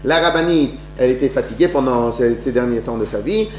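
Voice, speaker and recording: A man's voice: mid-range voice, brisk, a little mellow, faint music behind. A man giving a religious talk to an audience, telling stories with many restarts and rhetorical questions. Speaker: lecturer. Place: lecture hall. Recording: echoey room with faint hum.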